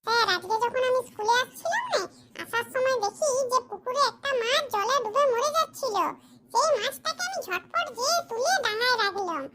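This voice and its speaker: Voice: extremely high pitch, expressive, brisk, sharp tone, heavily acted. A performer voicing a cheeky, boastful schoolchild in a comedy cartoon, talking in a cocky, argumentative, colloquial way. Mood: earnest, cocky. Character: cheeky, boastful Bengali schoolchild, dim but sly.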